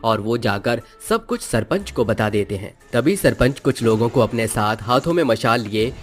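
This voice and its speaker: A man narrating a scripted fantasy story in an expressive storytelling manner. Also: mid-range voice, normal speed. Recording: music under the voice. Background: music.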